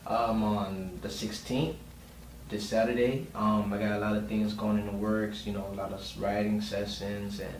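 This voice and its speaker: A man giving a casual podcast interview as a guest, speaking informally with plenty of slang. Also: on the low side. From Miami, Florida, USA. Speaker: guest singer and producer. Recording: roomy, distant mic, faint noise.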